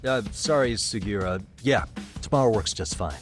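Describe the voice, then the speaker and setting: A cool male private detective played by a man in a video game, speaking in a low American voice. He is understated and wry, never hurried in temper, with music playing underneath. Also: expressive, lightly acted. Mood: cool, casual. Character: cool, level-headed male private detective in his thirties. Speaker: detective agency owner. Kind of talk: English game dub acting with casual dialogue and narration.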